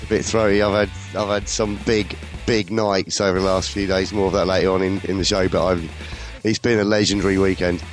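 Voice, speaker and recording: Husky-voiced radio host. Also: male voice, low-pitched, little pitch movement, brisk, hardly any pauses, soft background music, rough recording. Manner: laid-back, joking banter. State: husky, throaty voice. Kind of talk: casual radio chat.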